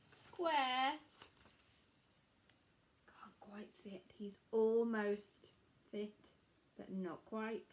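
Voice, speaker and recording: A woman teacher with an English accent reading a picture book aloud to children, gently asking them questions along the way. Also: mid-range voice, slight background noise. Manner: gentle, slow, asks the listening children questions. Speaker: primary school teacher.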